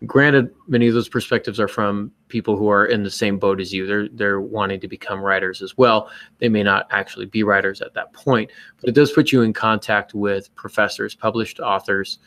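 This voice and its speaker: A man's voice: fairly low pitch, steady tone, normal speed, hardly any pauses, a bit bright. A man hosting a podcast, casually explaining his views on writing in a conversational way. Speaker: podcast host and writer.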